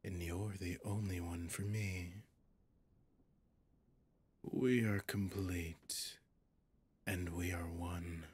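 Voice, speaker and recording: A man's voice: deep voice, level tone, a little mellow, heavily acted. A man voicing a possessive, gloating demon in an ASMR roleplay, seductive and menacing, often slipping into a whisper. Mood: possessive, triumphant. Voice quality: gravelly, breathy, often whispering. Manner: slow, seductive, menacing, condescending.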